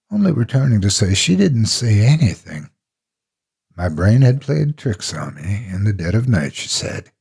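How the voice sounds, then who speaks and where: A man with a husky, gravelly voice narrating a horror story in the first person, dramatically and with deliberate expression. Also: lowish voice, warm tone.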